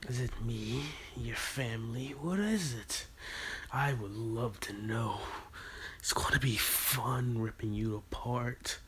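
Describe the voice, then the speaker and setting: A man voicing a sinister young male ninja who taunts slowly in a low, hushed whisper. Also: a little hiss or hum. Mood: menacing. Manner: slow, taunting. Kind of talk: fan story roleplay.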